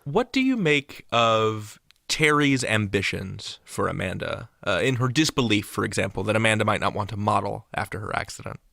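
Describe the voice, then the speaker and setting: A man interviewing a guest with measured, considered questions. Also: lowish voice, lively intonation, creaky, a bit bright, no echo.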